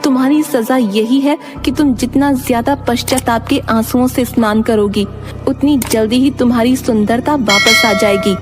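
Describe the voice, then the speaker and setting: A stern magical fairy , voiced by a woman, speaks in a fairly high voice. Her delivery is solemn and admonishing, in the manner of a cartoon moral tale, with music behind. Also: fairly even intonation, normal speed, close to an everyday voice. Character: stern divine fairy beauty with magic powers.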